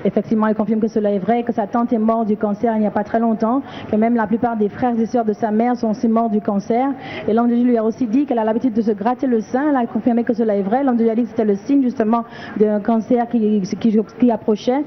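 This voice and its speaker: Lady interpreter going really fast, dull muffled audio. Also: fairly high pitch, steady tone. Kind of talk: rapid interpretation.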